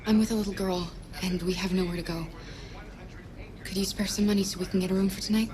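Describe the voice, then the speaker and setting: An actress playing a gentle, protective female android in a video game, speaking softly and earnestly with a reassuring manner. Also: medium pitch, steady tone, fast talker, a crowd in the background, natural voice. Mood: pleading. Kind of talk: naturalistic video game acting. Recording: music and rain behind.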